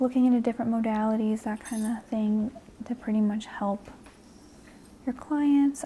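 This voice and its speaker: A young woman in her twenties, a guest, talking in a soft half-whisper with many fillers. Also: fairly high pitch, a little hiss or hum. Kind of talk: soft-spoken ASMR chat.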